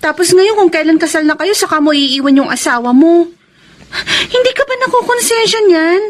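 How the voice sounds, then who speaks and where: An actress with a Filipino accent playing an earnest, frank younger sister in a radio romance drama. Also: female voice, extremely high pitch, lively intonation, normal speed. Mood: reproachful, pleading. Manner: probing, earnest, reproachful.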